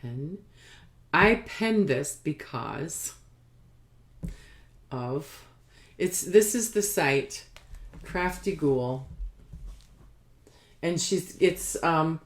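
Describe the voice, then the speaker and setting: A woman hosting a casual craft podcast, rambling haltingly and sometimes trailing off as if talking to herself. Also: low-pitched, expressive. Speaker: podcast host showing knitting and spinning.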